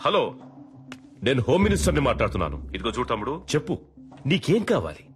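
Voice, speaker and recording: A man's voice: medium pitch, huge pitch swings, fast talker, music in the background. An actor playing a pompous, blustering government minister and father in a film drama. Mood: bargaining, self-important. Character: blustering, self-important home minister, anxious father.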